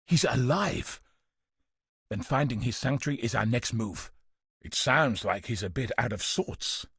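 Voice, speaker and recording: An actor playing a weary, bitter but steadfast guard commander in a video game, speaking gravely and earnestly with a husky, raspy voice. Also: male voice, medium pitch, expressive, a little slow, a bit bright, dry recording, heavily acted. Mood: determined, hopeful. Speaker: game character voice. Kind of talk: dramatic video game voice acting.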